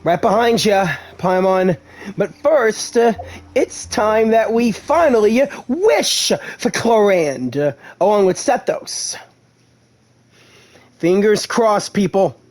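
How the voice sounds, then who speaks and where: A man's voice: sits high, animated, takes it slowly, darkish tone, faint music behind. A man giving casual let's-play commentary as a gaming YouTuber.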